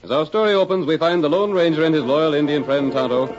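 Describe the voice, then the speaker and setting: High-pitched male 1930s radio announcer. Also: fast talker. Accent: American. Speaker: announcer and narrator. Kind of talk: 1930s radio serial narration, dramatic. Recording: dull 1930s radio recording.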